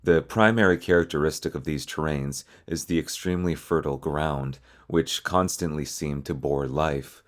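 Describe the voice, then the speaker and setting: Man, extremely low-pitched voice, video host, explanatory narration. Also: moderate pace, few pauses.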